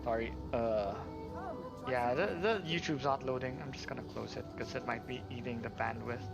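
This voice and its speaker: A man's voice: mid-range voice, moderate pace, vocal fry, muffled recording, music in the background. A man hosting a game livestream, thinking aloud hesitantly in casual live commentary.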